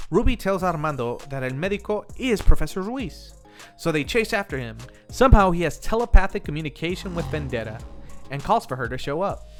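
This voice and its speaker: Man, slightly high-pitched, video essay host, scripted recap, conversational, talks to viewers, music in the background. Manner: conversational, addresses the viewers directly. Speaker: host of a film review channel. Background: music under the voice.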